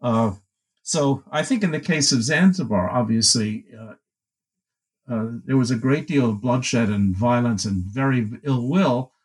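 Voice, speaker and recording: A man answering questions about an edited book in a halting, thoughtful way, with many fillers and restarts. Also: medium pitch, slightly rough, poor audio. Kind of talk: academic interview answer.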